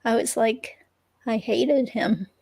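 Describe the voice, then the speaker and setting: A woman's voice: on the high side, slow pace, vocal fry, a little mellow, husky, full character voice. A woman reading the part of a hurt ex-girlfriend in a police interview, hesitant and rambling, in a conversational dramatic reading. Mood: conflicted, unsure. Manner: hesitant, rambling, filler-laden.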